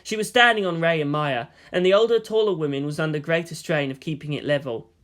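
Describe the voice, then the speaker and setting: A man reading fan fiction as an expressive scripted narration. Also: on the high side, fast.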